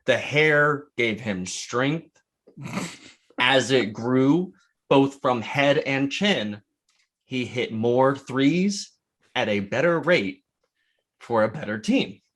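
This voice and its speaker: An American man co-hosting a podcast, chatting about sports with deliberate, slightly grand phrasing. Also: mid-range voice, animated, a bit bright. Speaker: podcast co-host. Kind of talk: casual sports podcast chat.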